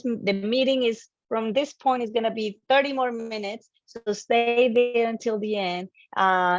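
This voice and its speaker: A woman with a Colombian accent, a native Spanish speaker, giving an animated, emphatic motivational presentation to an online audience and repeating key phrases. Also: on the high side. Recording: dull, lo-fi online audio, slightly roomy.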